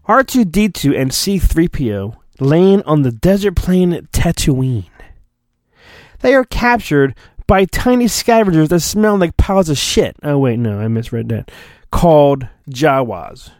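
A man's voice: on the high side, animated, unhurried, darkish tone, dry recording, studio quality. A man hosting a podcast, giving a mock-dramatic storybook reading with theatrical, self-mocking asides. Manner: theatrical, self-mocking, often breaks off to mutter. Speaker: podcast host and reader.

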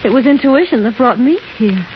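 An actress playing an anxious, insistent young fiancée, urgent and questioning, in a 1950s radio drama. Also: female voice, a little high, expressive, brisk, natural voice. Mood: pressing. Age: young adult. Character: insistent, anxious fiancée in her early twenties. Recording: dull, very noisy 1950s radio recording.

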